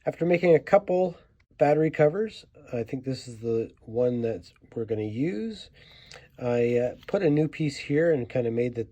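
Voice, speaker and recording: A man's voice: medium pitch, expressive, moderate pace, dark tone, lo-fi sound, faint music behind. A man giving a casual hands-on how-to narration, informally thinking aloud as he works. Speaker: host of a toy restoration tutorial.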